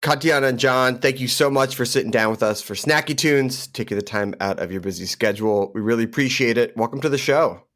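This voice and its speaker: A man's voice: mid-range voice, a little fast, hardly any pauses, fairly bright tone. A man hosting a casual food podcast interview, chatty and loose with fillers. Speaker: podcast host.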